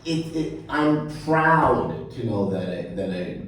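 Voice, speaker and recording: A man's voice: mid-range voice, lively intonation, slow, heavy reverb, distant mic, a little hiss or hum. A man with a New York accent hosting a casual interview podcast in an office, friendly and chatty with easy laughs. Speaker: podcast host, chamber president.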